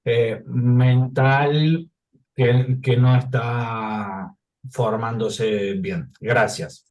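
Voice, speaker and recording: A man speaking as a non-native, asking a question at a conference in a polite, halting way with restarts. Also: medium pitch, fairly even intonation, a little mellow, lo-fi sound. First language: not Spanish. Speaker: audience member asking a question.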